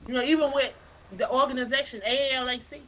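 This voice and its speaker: A woman with a South Carolina Southern accent, a guest in a casual interview, talking in an animated, preacherly way and often repeating words as she builds a point. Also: high-pitched, quick pace, noisy background. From Anderson, South Carolina. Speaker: guest, literacy campaign founder.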